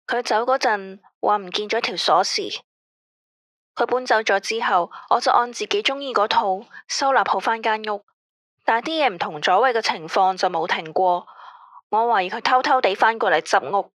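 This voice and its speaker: An actress playing an anxious radio caller who speaks Cantonese with a Hong Kong accent in a tense, weary, complaining way. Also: female voice, mid-range voice, moderate pace, fairly bright tone, no room sound, natural voice. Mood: uneasy. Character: female caller, anxious about a controlling ex-husband. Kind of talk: radio drama acting.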